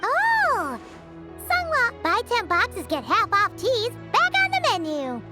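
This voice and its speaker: Super high squeaky fairy sidekick, bubbly, unhurried, game music loud behind. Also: female voice, wildly swooping pitch, exaggerated voice. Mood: excited. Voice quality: squeaky, childlike. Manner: bubbly, exclamatory. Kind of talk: video game voice acting.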